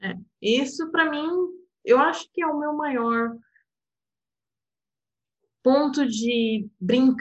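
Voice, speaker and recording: Animated Portuguese woman, fairly high voice. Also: slow, pauses often, muffled recording. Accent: European Portuguese. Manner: personal, animated, laughs often, fillers like "sabe?". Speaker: interpreter and guest. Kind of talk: reflective casual conversation.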